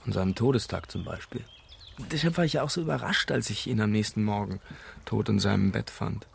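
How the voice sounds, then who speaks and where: A man playing a calm, reflective young Englishman giving a witness account in a German radio crime drama. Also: fairly low pitch, vocal fry, slight background noise. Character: young Englishman, dying uncle's observant great-nephew, calmly forthcoming.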